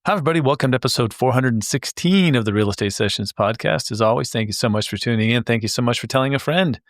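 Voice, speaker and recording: A man's voice: medium pitch, quick pace. Upbeat man hosting a podcast. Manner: upbeat and enthusiastic, restarts words. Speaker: podcast host. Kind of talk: casual interview chat.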